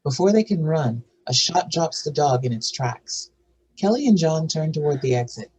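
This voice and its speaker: Husky male narrator reading stage directions. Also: a little high, expressive, moderate pace, a little mellow, poor audio. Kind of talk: scripted narration.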